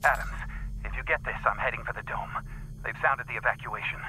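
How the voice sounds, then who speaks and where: An actor playing an anxious biologist on the run in a sci-fi game audio log. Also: male voice, medium pitch, fairly quick, lightly acted. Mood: urgent, grim. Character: anxious male biologist fleeing an outbreak. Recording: faint noise, recorded audio log.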